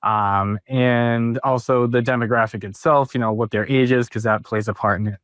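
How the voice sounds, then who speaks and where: A man's voice: mid-range voice, fairly even intonation, a bit bright, no room sound, clean studio sound. A young man speaking as an SEO specialist guest, chatting casually with many ums and likes. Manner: lots of ums and likes, quick bursts. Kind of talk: casual interview chat.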